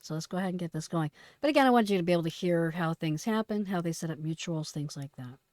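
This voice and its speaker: Low-pitched woman explaining things casually. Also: expressive, quick pace, darkish tone, dry recording, clean studio sound. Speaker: retired dispatcher presenting radio traffic.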